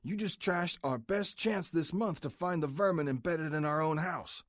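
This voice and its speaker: A man voicing a gruff, menacing older biker club president who delivers clipped threats. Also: fairly high pitch, lively intonation, normal speed, no echo, clean studio sound, voice pushed up. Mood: angry.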